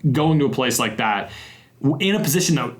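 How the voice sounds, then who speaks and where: A man's voice: mid-range voice, lively intonation, a little fast. A young man hosting a casual games podcast, rambling in a friendly way with self-deprecating asides. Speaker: podcast host.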